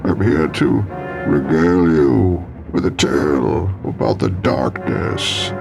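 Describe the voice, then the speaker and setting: Casting: grandiose, menacing villain narrating radio horror stories; slow, drawn-out delivery with a creaky edge. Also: male voice, a bass voice, lively intonation, music in the background, heavily acted. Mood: grand menace. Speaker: storyteller. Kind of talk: theatrical horror storytelling, classic radio style.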